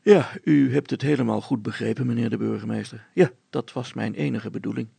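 A man voicing a calm helicopter pilot for a storybook, polite, patient and gently ironic. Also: medium pitch, fairly quick, creaky, slightly rough, no echo, heavily acted. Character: calm, courteous, cheeky male helicopter pilot.

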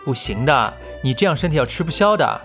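A man's voice: medium pitch, big pitch swings, brisk, vocal fry, over music. An actor playing an exasperated but protective male talent manager, nagging and coaxing in an audio drama. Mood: concerned, exasperated. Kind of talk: audio drama acting with background music.

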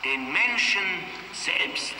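Echoing from afar over music and crowd, a visionary politician declaims a campaign speech in a radio drama. His high voice swings emphatically as he rouses his listeners. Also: expressive, drawn-out words, distant mic, noisy background. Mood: rousing, fervent. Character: rousing visionary presidential candidate giving a speech. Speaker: political candidate.

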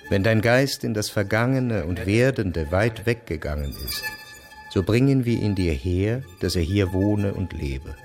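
Slow, solemn reciter of ancient hymns. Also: male voice, on the low side, lively intonation, over music. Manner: measured, solemn, incantatory. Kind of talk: solemn recitation of ritual hymns in radio drama.

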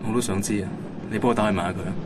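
A man's voice: lowish voice, steady tone, rapid-fire, heavy background noise, lightly acted. An actor playing an easygoing, caring young man with a touch of regret, speaking with a Hong Kong accent in a naturalistic radio drama. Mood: regretful.

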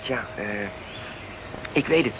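An actor playing a tender, practical young settler on a new planet in a radio play, with an earnest, reassuring manner. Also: male voice, slow pace, lightly acted. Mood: gentle, practical. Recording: radio drama with loud background noise.

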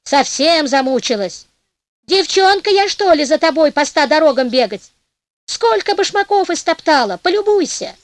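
A woman's voice: sits high, expressive, normal speed, no room sound, pitched above the performer's own voice. Woman voicing grumbling ancient Death. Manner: grumbling, scolding. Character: old grumbling female Death, creaky and bossy.

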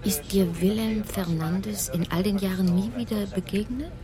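An actress playing a commissioner's older wife, affectionate and inquisitive, in a radio crime drama, speaking in a familiar way. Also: female voice, mid-range voice, normal speed, crowd noise, natural voice. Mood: fond, curious. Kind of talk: German radio play acting, intimate dialogue.

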